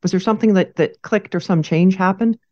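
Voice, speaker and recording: A woman's voice: medium pitch, brisk, a little mellow, muffled recording. A woman hosting a podcast, reading an upbeat scripted introduction and then asking interview questions. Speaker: podcast host.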